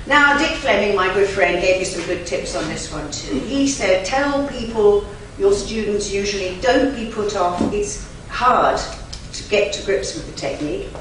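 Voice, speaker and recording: A woman's voice: mid-range voice, normal speed, few pauses. Emphatic woman lecturing in an echoey hall. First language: German. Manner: emphatic, chatty, with lively asides. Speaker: conference interpreter and trainer. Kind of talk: lecture to a live audience. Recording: very echoey hall, from far off, faint noise.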